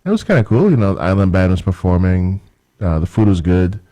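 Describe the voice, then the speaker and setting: A man's voice: low voice, very animated, brisk, warm tone, no echo. A man co-hosting a casual radio chat, easygoing and joking. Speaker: radio co-host.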